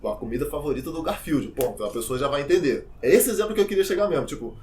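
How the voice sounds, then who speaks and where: A young man explains things in a loose, chatty way, talking straight to his viewers. His voice sits a bit high for a man, with a faint hiss of background noise. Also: fast. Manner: chatty, informal, many fillers and asides; lively, addresses viewers directly. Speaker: English teacher. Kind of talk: casual teaching vlog.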